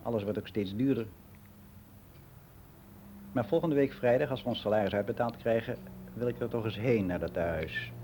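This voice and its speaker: Male actor doing middle-aged guy in a radio play, world-weary n gossipy, soft mellow voice, rambling on matter-of-factly, music around. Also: fairly low pitch, normal speed, pauses often, a little hiss or hum, lightly acted. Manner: rambling, confiding, matter-of-fact. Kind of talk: radio drama monologue.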